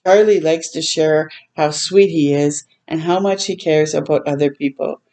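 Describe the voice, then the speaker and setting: A woman hosting a children's storytime, reading a picture book aloud in a gentle, expressive way with tender asides to listeners. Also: sits low, moderate pace. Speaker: children's read-aloud host.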